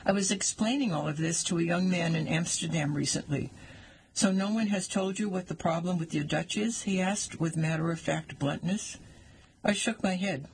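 Wry man in his sixties with a gravelly voice. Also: on the high side, normal speed, slight background noise, rough recording. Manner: wry, dry humor. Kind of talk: reading an essay aloud.

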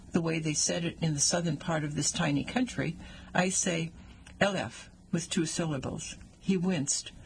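Older man, 60s, essay reader, wry delivery, gravelly edge, faint background noise. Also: on the high side, poor audio. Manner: wry, dry humor. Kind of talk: reading an essay aloud.

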